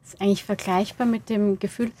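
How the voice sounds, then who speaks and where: A woman's voice: mid-range voice, fairly even intonation, fast, dark tone, no echo. A woman speaking as an interviewed playwright, casual and thoughtful, linking loose sentences and rewording as she goes. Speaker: playwright interviewed about her radio play. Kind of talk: casual reflective interview talk.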